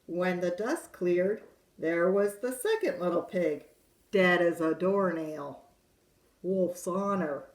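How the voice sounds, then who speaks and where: A woman's voice: on the low side, animated, slow pace. A woman voicing a sly, self-pitying male wolf who tells his side in a chatty, confiding way, in a roomy space. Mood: protesting innocence. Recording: roomy echo.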